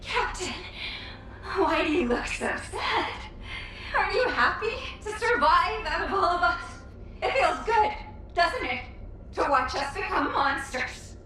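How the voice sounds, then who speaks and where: A very high, thin-voiced young female soldier in a dramatic game scene, slow and anguished as she turns monstrous. She sounds far off in deep echo. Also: lively intonation, pushed and bright, a little hiss or hum, full character voice. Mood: bitter, tormented. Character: terrified young female soldier, despairing, turning monstrous and hateful. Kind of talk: dramatic video game acting. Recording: distant, very echoey, music behind.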